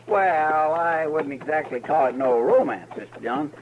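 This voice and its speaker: A man's voice: fairly high pitch, expressive, moderate pace, phone-quality sound, natural voice. A man playing a folksy, naive, earnest western sidekick with an in a radio drama. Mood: earnest, wistful. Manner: rustic, naive, folksy grammar. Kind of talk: 1950s western radio acting. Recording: noisy old radio recording.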